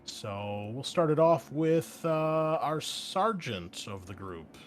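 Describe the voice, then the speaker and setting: An upbeat man hosting and running a tabletop game show, with a mid-pitched voice. He keeps a casual flow and punches some words much louder than the rest. A faint hiss sits under the recording. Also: big pitch swings, takes it slowly. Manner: upbeat, swings loud on key words. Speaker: host and game master.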